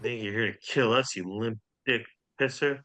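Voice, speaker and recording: A man's voice: mid-range voice, moderate pace, sharp tone, natural voice. A man acting a smug, crude secret agency boss in a comedy table read. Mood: scornful.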